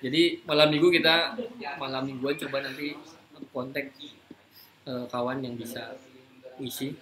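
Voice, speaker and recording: A man's voice: mid-range voice, steady tone, measured pace, crowd noise. A man hosting a casual live chat in an informal, joking way. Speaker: host. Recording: noisy room, live stream.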